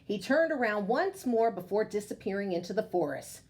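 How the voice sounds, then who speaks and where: Animated woman reading a children's story. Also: medium pitch, moderate pace. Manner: animated, addresses young listeners directly. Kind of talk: expressive read-aloud of a picture book.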